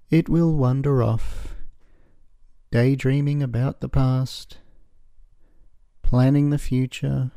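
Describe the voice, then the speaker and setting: A man narrating a guided meditation as the guide, in a gentle, soothing, measured way with long pauses. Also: medium pitch, vocal fry, no echo, clean studio sound.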